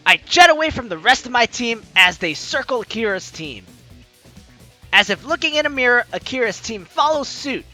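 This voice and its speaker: Bright, animated woman gamer reading aloud. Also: a little high, normal speed. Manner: excitable, chatty, dramatic reading. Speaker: gaming video host. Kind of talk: let's play commentary, reading game narration aloud. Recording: game music behind the voice. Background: game music.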